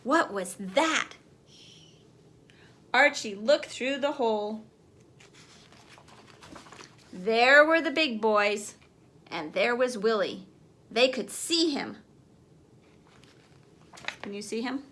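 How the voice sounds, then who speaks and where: A woman's voice: a little high, animated, slow pace, long pauses, a bit bright. A woman teacher reading a children's picture book aloud, expressively, as if putting questions to young listeners.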